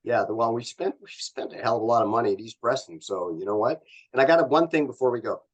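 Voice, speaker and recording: A man's voice: medium pitch, fast talker, breathy edge. A middle-aged man with a North American accent, talking casually as an interview guest in a rambling, explanatory and colloquial way. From born in Canada, grew up in Los Angeles. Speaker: company executive guest.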